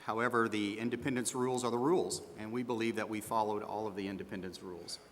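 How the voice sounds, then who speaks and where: Man playing a low-voiced stubborn accountant, echoing hall. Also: fairly even intonation, fast, lightly acted. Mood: defensive, firm. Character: stubborn, defensive male small-firm accountant under questioning. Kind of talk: scripted dramatization of a hearing. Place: large meeting hall with sound system. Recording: reverberant hall with faint background noise.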